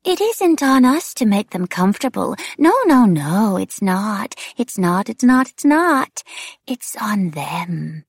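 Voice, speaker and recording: A woman voicing a sinister, demented female wraith who taunts in a breathy, whispering way with sing-song repetition. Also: mid-range voice, big pitch swings, slow, dry recording, studio quality, pitched above the performer's own voice, full character voice. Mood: eerie, teasing.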